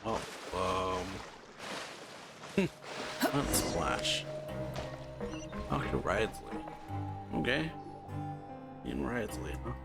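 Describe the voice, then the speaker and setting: A man's voice: sits low, sing-song, very slow, creaky, pauses often. A man hosting a casual reaction video, talking in a laid-back way with mumbled asides and chuckles while he plays a game. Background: game music.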